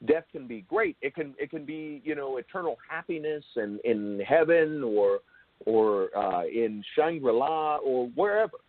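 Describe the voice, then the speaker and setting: A man hosting an interview in a conversational, halting way, often circling back over his phrases. His pitch swings in a very lively way. The sound is dry and narrow, like a phone line. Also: no echo. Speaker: show host and interviewer.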